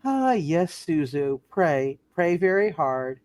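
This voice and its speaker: Doting praying townswoman, acted by a woman, fairly low, hugely dramatic intonation, dry close sound, radio comedy. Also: big pitch swings, slow, a little mellow, dry recording, full character voice. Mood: sorrowful, urgent. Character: doting, theatrical townswoman praying for her family. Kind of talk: hammed-up comic radio play reading.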